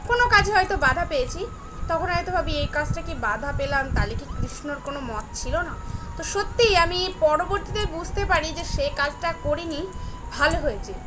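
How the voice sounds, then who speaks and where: High-pitched young woman, noisy recording. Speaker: devotional vlogger. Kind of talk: personal devotional talk to viewers. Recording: clearly noisy, ambient background sound.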